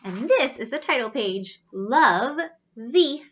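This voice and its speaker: A woman reading a storybook aloud to children, pausing in a teacherly way to explain pictures and ask questions. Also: fairly high pitch, expressive, a bit bright, slight background noise. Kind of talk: animated read-aloud storytelling with asides. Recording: slightly dull, lo-fi sound.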